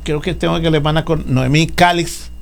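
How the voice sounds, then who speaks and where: A man preaching a sermon in short phrases, pausing after each for his interpreter to translate. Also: on the high side, steady tone, gravelly, slight background noise.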